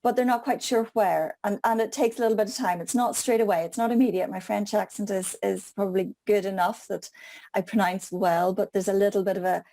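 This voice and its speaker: A woman's voice: mid-range voice, steady tone, brisk, mellow. A woman from Donegal with an Irish accent, answering questions as a guest on a video call in a chatty, self-mocking way. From Donegal, Ireland. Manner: chatty, explanatory, laughing at herself. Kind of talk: online group Q&A.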